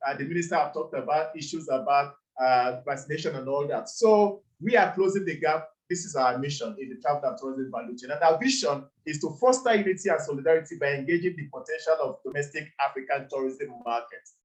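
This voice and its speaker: A man's voice: a little high, fast talker, few pauses, low-quality recording. A man from Nigeria, founder of a campaign, giving an earnest closing speech on a video call with some hesitations. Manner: earnest, grateful, hesitant with fillers.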